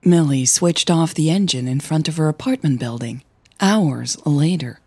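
A woman narrating scripted fiction in a soft, breathy voice. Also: sits low, expressive, moderate pace.

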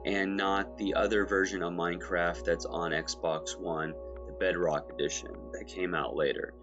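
A man recovering from illness and a bit hoarse, giving a relaxed step-by-step game walkthrough with lots of ums. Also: deep voice, moderate pace, muffled recording. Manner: relaxed, many ums and filler restarts. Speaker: gaming guide creator. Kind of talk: walkthrough commentary over gameplay. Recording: music playing behind.

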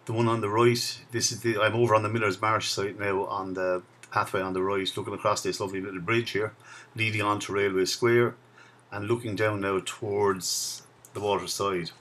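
A man's voice: lowish voice, level tone, moderate pace, fairly bright tone. Chatty man in his sixties. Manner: chatty, reminiscing as he walks. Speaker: video blogger. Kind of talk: casual walking commentary. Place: outdoor riverside path in a town.

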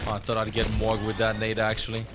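A man's voice: lowish voice, completely flat delivery, fast talker. A man in his thirties giving casual, informal gameplay commentary, thinking aloud into a close desk mic. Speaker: gaming streamer. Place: small indoor streaming room. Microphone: close desk microphone on boom arm. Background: loud game music and sounds.

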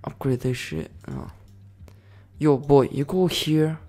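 A man giving casual commentary over a management game, excitable, swearing and yelling orders at his units. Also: fairly high pitch, slow, faint music behind. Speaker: gaming channel host.

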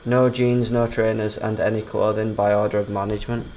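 A man's voice: fairly low pitch, level tone, a little fast, a crowd in the background. A man with a Northern English accent, curiously pointing out things he finds while exploring an abandoned house. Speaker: urban explorer. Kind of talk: casual banter while exploring.

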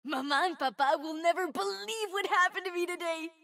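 A woman playing an eager, sweet, boastful twelve-year-old boy fire mage in a video game, with an excitable, earnest, childlike manner. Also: very high voice, a little fast, gravelly, far from the mic, heavily acted. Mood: earnest, warm. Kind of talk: video game character voice lines.

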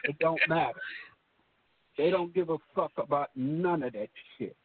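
A man's voice: on the high side, moderate pace, husky, dry recording. A man co-hosting a casual talk show, speaking bluntly and emphatically with a lot of profanity. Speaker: co-host. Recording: tinny phone line.

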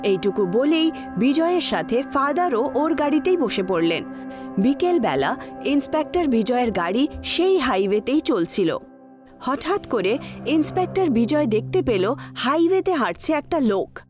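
Female horror-story narrator: dramatic scripted read, very animated intonation, clear music underneath. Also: high voice, moderate pace.

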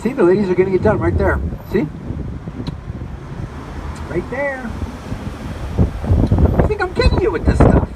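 A man with a loud voice giving a live guided tour, chatting to the group and addressing them directly. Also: high voice. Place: outdoors on a moving tour vehicle. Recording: distant, muffled mic with loud wind noise.

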